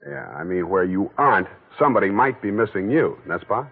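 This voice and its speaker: Hard-boiled private eye, husky low voice, dry teasing talk, tinny old broadcast. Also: male voice, animated, fairly quick. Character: wisecracking hard-boiled male private detective. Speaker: private detective. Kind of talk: 1940s radio acting.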